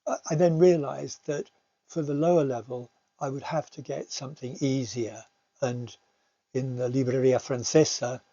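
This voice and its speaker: A man presents slides in an informal, explanatory way, like a teacher. His voice is husky and soft-edged, and he often pauses as he searches for words. The recording is dull and roomy. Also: mid-range voice, fairly even intonation. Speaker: language teacher giving a talk. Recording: muffled, roomy home recording.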